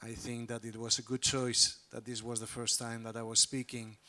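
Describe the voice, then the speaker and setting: A man with a Spanish accent speaks in a formal, measured way at a conference panel. His mid-range voice has a bright edge, with the odd "um" between points. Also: medium pitch, flat delivery. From Spain. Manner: measured, formal, some fillers. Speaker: panelist, politician.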